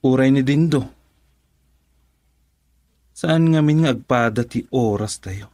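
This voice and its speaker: A man's voice: mid-range voice, takes it slowly, a little mellow, no echo, lightly acted. A man playing a plain-spoken concerned friend. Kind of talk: radio drama acting.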